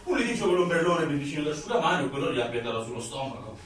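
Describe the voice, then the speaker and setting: A man acting a breezy, high-voiced young shopkeeper. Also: very fast, soft background music, lightly acted. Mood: chatty. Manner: rambling, familiar, colloquial, jokey, easily excited. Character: chatty, breezy young male junk shop keeper. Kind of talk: naturalistic radio drama acting. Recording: very echoey, somewhat distant from microphone.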